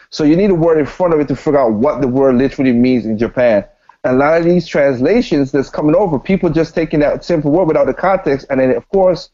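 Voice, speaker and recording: Male podcaster, slightly high voice, emphatic slangy opinions, casual delivery, dull lo-fi recording. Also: warm tone. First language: not standard American English. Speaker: podcaster, guest on a gaming podcast.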